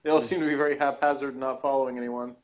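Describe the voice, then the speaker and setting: A dull, lo-fi recording of a man with a mid-pitched voice. He chats casually as a co-host on a review show, offhand and a little sardonic in how he puts things. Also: flat delivery, racing, a crowd in the background. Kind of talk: casual chat.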